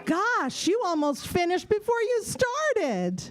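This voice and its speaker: A woman's voice: very high voice, wildly swooping pitch, slow pace, background noise. A woman co-presenting a children's audio theater show with scripted, playful and theatrical questions. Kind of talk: scripted stage patter for children. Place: live event at a public library.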